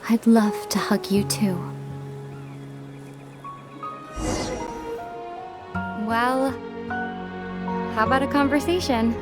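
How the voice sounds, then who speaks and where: An actress playing a composed, wistful young woman in video game dialogue, speaking softly and reflectively. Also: a little high, unhurried, natural voice. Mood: tender, wistful. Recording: music behind the voice.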